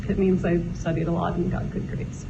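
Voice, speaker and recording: A woman testifying as a witness in court, giving terse, measured and careful answers. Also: on the low side, level tone, vocal fry. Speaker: witness on the stand. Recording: noisy, muffled courtroom recording.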